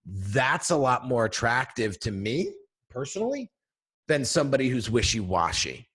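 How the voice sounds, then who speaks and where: A man with a deep voice, a brand coach giving an energetic teaching talk straight to viewers, often checking in with "right?" and "okay?". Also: moderate pace, breathy edge. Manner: energetic, emphatic, addresses viewers directly with frequent "right?" and "okay?". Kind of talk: instructional video talk.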